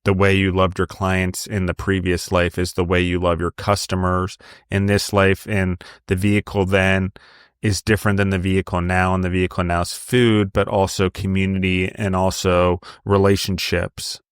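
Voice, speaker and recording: Deep, flat-voiced effusive podcast host. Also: male voice, moderate pace, clear, resonant tone, no echo, studio quality. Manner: effusive, enthusiastic praise. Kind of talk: interview hosting.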